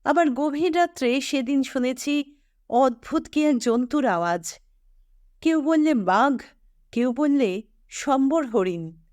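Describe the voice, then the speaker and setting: Slow, high-voiced woman storyteller. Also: expressive, darkish tone, dry recording, clean studio sound. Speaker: storyteller reading fiction aloud. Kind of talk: scripted audiobook narration of a literary story.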